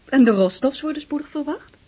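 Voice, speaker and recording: A woman's voice: high-pitched, lively intonation, a little fast, darkish tone. A woman acting in a radio drama as a vain, flirtatious countess and society hostess, coquettish and sophisticated. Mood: coaxing, poised.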